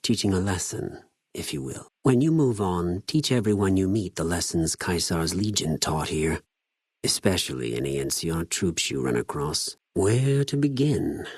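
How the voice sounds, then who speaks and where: An actor playing a cold, fanatical legion spy in a video game, speaking formally with measured, contemptuous politeness. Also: male voice, sits low, animated, slightly rough, no echo, clean studio sound, full character voice. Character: cold, fanatical male legion spy and infiltrator, menacingly courteous. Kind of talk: scripted game character dialogue.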